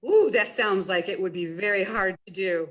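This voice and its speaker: A woman's voice: mid-range voice, moderate pace, very noisy. A woman presenting a storytime as a children's librarian, talking directly to young listeners in an encouraging way and reading a picture book aloud.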